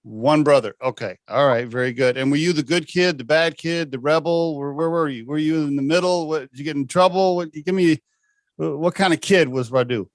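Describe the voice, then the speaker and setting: A man's voice: fairly high pitch, a little fast, no room sound. A man hosting a podcast, asking casual, chatty interview questions. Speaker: podcast host.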